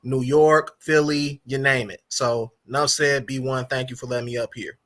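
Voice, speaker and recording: A man's voice: mid-range voice, fairly even intonation, moderate pace, fairly bright tone. A man with a Wisconsin accent chatting casually, often stammering and repeating words. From Wisconsin, United States. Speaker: panel caller. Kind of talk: casual chat.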